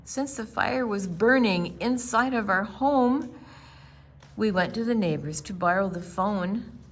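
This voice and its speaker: A woman reading a rhyming children's picture book aloud in an expressive, theatrical storytime style, with dramatic pauses and emphasis. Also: medium pitch.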